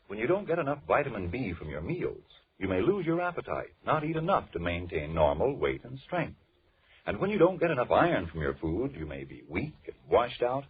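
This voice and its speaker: Male announcer for 1940s radio: very husky, widely swinging delivery, dramatic salesmanship, tinny vintage recording. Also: medium pitch. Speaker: announcer and narrator. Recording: narrow lo-fi old radio recording.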